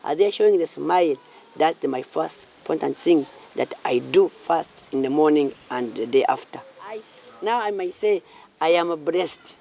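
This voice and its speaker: The speaker is a man in his late thirties with a Ugandan accent. His voice is mellow, with plenty of creak in it. He answers interview questions informally, phrasing things haltingly and often repeating himself. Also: on the high side, animated, slight background noise. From southwestern Uganda. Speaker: community project founder and church minister. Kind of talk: informal interview answers about own life.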